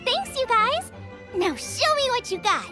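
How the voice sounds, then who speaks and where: An eager young female chef from a video game, speaking in a very high, animated voice. She is perky, confident and passionate, with clear music playing behind her. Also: a little slow, full character voice. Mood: eager, determined. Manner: perky, enthusiastic. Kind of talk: video game character voice acting.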